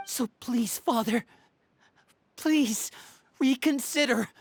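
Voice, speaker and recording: A woman's voice: fairly high pitch, slow pace, slightly rough, heavily acted. A woman voice actor playing an earnest youth in a video game who pleads respectfully and from the heart. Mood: pleading. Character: earnest, pleading youth defending adoptive siblings.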